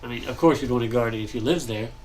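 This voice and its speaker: A young man with a stuffy, nasal voice, chatting casually and thinking aloud while he builds in a game, pausing often to cough or clear his throat. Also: medium pitch, a little mellow, slight background noise, poor audio. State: congested, nasal. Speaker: gaming channel host.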